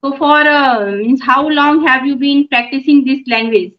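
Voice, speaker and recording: A middle-aged woman asks questions in a measured, encouraging way with frequent filler pauses. Her voice sits high, and the video-call audio sounds dull and lo-fi. She speaks from a room at home. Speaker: YouTube channel host and mother. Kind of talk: English conversation practice on a video call. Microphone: phone on a video call.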